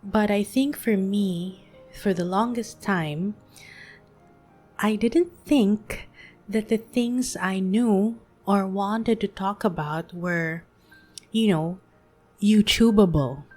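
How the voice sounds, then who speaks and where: A woman in her late thirties, speaking gently and soothingly in a reflective monologue as a podcast host. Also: mid-range voice, slow pace, soft background music. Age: 30s. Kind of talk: soft-spoken reflective monologue.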